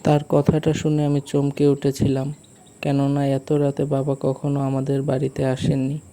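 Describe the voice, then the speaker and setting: A man playing a village husband who recounts an eerie night in a polite, uneasy, measured way for a cartoon story. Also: mid-range voice, completely flat delivery, mellow, close to an everyday voice. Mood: uneasy, puzzled. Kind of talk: first-person cartoon story narration.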